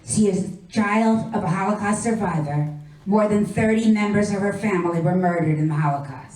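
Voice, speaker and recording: A woman presenting a ceremony, calling people up in a measured way with short introductions. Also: mid-range voice, a little mellow. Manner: measured, announcing names and short bios. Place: hall at a memorial ceremony. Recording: echoey hall, mic at a distance, faint ambience.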